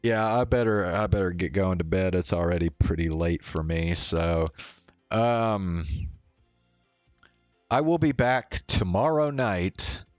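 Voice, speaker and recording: Slow, deep-voiced man streaming. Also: no room sound, dull audio, soft background music. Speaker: streamer reading a visual novel aloud. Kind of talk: narrated read-aloud and casual sign-off.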